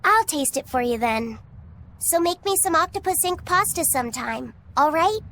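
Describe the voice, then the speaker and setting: A woman's voice: sits high, expressive, moderate pace, sharp tone, a little hiss or hum, exaggerated voice. A woman voicing an earnest, bookish young boy who gets easily flustered, in a video game. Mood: earnest, informative. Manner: earnest, precise, defensive when teased. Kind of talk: English video game voice acting.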